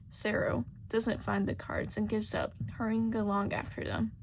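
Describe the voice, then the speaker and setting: A woman's voice: medium pitch, gravelly, poor audio. A woman reading a scripted story aloud as an amateur audiobook narrator. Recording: faint background noise.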